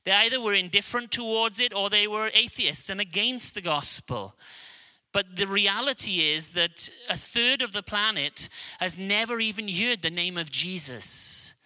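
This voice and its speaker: A middle-aged Welsh man preaching a sermon, earnest and emphatic, dropping low and slow on key lines. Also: sharp tone, clean tone. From Wales. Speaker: guest preacher.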